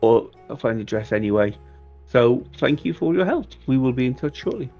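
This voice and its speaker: A man with a creaky, mid-pitched voice plays a tabletop roleplaying game. He talks casually in character over music, and the audio sounds slightly muffled. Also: animated, a little fast.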